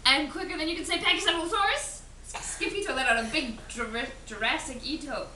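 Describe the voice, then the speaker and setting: A woman reading a children's storybook aloud with animated, theatrical narration. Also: high-pitched, brisk. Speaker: storybook reader. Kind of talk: dramatic read-aloud narration. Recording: echoey room from a distance, faint hiss.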